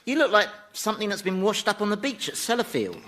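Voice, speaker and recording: The devil as a harassed middle manager, in a satirical radio comedy. His slightly high voice swings around in sarcastic, chatty dismissals over faint noise. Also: very animated, quick pace. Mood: scornful.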